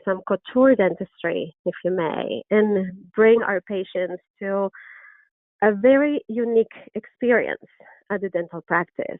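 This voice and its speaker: A middle-aged woman with an Argentine Spanish accent presents an online lecture, earnest and enthusiastic, pausing on ums and restarting phrases. Her voice sits at an ordinary pitch. The sound is dry and narrow, like a phone line. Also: dry recording. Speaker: orthodontist lecturer. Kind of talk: webinar presentation. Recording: dry, tinny phone-like webinar audio.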